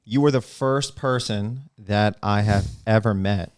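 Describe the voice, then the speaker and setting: A man hosting a podcast, introducing a guest and asking questions in a measured, deliberate way. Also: lowish voice, a little mellow, clean tone, dry recording, studio quality. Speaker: podcast host.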